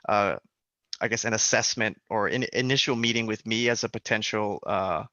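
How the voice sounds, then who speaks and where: Young male career adviser from Hawaii, relaxed and halting, a fairly low voice with a forceful edge, dull lo-fi line. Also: no echo. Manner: relaxed, hesitant, many ums and restarts. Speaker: career adviser running a mock interview. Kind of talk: casual mock interview role play. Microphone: video call. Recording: dull lo-fi sound, dry.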